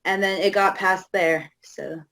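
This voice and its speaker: A woman's voice: medium pitch, fairly even intonation, a little slow, gravelly, a bit bright. A young woman activist from Alaska talking hesitantly in a casual panel conversation, with many fillers. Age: young adult. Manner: hesitant, many fillers, laughs between phrases. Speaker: youth activist guest.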